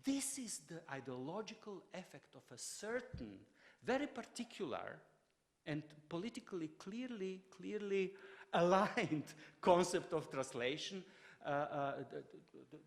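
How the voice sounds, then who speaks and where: Halting man lecturing in a hall. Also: high-pitched, very animated. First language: Croatian. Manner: halting, many fillers and restarts. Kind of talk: public lecture.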